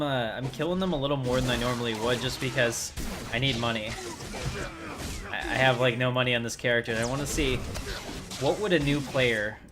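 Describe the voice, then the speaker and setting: A man giving casual, rambling livestream commentary as he thinks aloud while playing a game. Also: mid-range voice. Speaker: livestream host. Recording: clearly noisy background.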